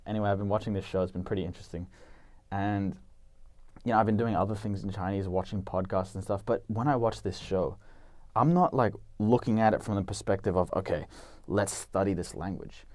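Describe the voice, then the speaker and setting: A man's voice: fairly low pitch, warm tone, no room sound. A young man giving casual, earnest advice on learning languages, with lots of "like" and "you know" fillers.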